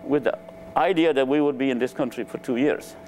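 An older man from Nepal, a native Nepali speaker, recounting his life in a relaxed, hesitant way with many fillers, on a lapel mic in a lecture room. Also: medium pitch, a little fast, slight background noise. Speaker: interviewed scientist. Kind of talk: relaxed conversational storytelling. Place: lecture room with a small audience.

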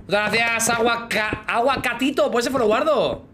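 A man's voice: very high-pitched, normal speed, bright, forceful tone, a little hiss or hum. Joking Spanish man streaming a game. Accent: Spain Spanish. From Spain. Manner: joking, chats with viewers while playing, hums sound effects. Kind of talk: casual live gaming chat. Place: small room indoors. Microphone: headset.

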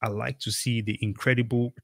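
A man's voice: mid-range voice, flat delivery, fairly quick, vocal fry, dry recording, studio quality. A middle-aged man from Cameroon hosting an interview over a video call, talking casually and emphatically with many fillers. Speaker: podcast host.

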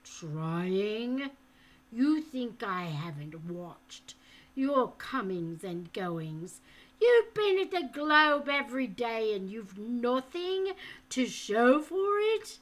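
A woman's voice: a little high, big pitch swings, exaggerated voice, poor audio. A woman playing a harsh, menacing man. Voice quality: harsh and hollow. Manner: slow, loud, threatening. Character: menacing harsh-voiced grown man, a threatening thief.

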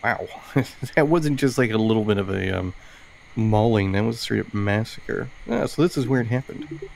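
A man's voice: on the low side, sing-song, normal speed, creaky, a little mellow, a little hiss or hum. A man giving casual, tentative let's-play commentary, thinking aloud and reading on-screen text as he plays. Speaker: gaming video host. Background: game music.

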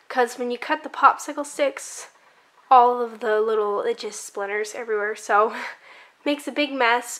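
A woman's voice: high-pitched, fairly bright tone. A young woman casually narrating a craft project on her home channel, chatty and upbeat with light laughs.

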